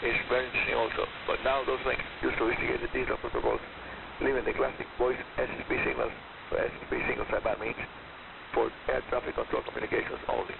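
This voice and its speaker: Gravelly man on hissy shortwave radio. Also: medium pitch, little pitch movement, quick pace. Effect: shortwave radio reception. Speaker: radio programme host. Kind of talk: scripted shortwave radio programme presentation. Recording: hissy, narrow-band shortwave radio broadcast. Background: radio static and hiss.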